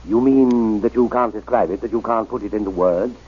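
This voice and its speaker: An actor playing a determined missionary reverend, coaxing and deliberate, in an old-time radio drama. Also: male voice, on the low side, breathy edge. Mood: coaxing, probing. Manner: deliberate, coaxing, emphatic delivery. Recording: muffled, dull old radio recording.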